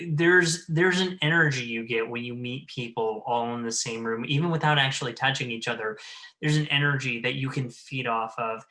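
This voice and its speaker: Male panelist, mid-pitched and bright, earnest, emphatic, conversational. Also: poor audio. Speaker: show panelist. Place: remote video call.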